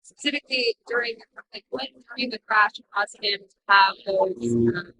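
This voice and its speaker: Bright, forceful female reporter. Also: mid-range voice, moderate pace, poor audio. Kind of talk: press questioning.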